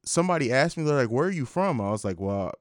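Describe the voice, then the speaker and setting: A young man hosting a casual podcast, swearing freely and telling animated stories. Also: medium pitch, fast, dry recording, studio quality. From grew up on US military bases in Italy. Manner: animated storyteller, laughs often. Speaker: podcast host.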